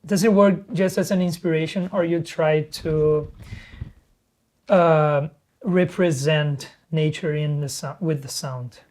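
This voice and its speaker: Halting interviewer with a mid voice. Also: medium pitch, fairly even intonation, a little mellow. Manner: halting, searching for words. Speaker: interviewer host. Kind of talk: casual interview questions.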